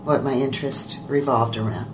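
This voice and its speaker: An older woman with a low voice, an actor and director, telling stories from her life as a guest in a casual interview, with fillers and restarts. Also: steady tone, moderate pace, background noise. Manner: warm storytelling with fillers and restarts.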